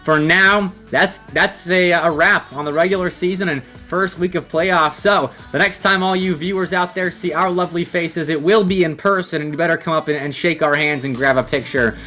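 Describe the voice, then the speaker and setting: A man's voice: on the high side, fairly even intonation, normal speed, hardly any pauses, sharp tone, faint music behind. A man commentating live on a video game match as an esports caster. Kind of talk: live Rocket League play-by-play and banter.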